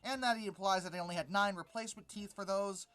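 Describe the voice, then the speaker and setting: An informal video commentator with a middling pitch critiques material point by point. The delivery is exasperated and sarcastic, often waving things off dismissively. Faint music plays behind the voice. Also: steady tone, fast talker, a bit bright. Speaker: host of a paleontology commentary channel.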